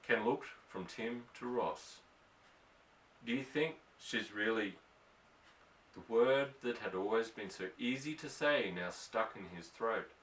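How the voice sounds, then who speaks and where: A man's voice: lowish voice, measured pace, few pauses, fairly bright tone, a little hiss or hum. A man reading a novel aloud as scripted literary narration. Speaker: audiobook narrator. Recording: roomy echo, distant from microphone.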